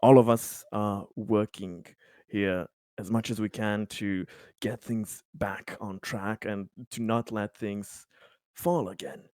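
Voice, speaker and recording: Man playing an earnest, halting company man. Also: lowish voice, steady tone, a little mellow, no room sound, studio quality. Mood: earnest, conciliatory. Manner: halting, repetitive, earnest pleading. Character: earnest, conciliatory company man pleading his good intentions.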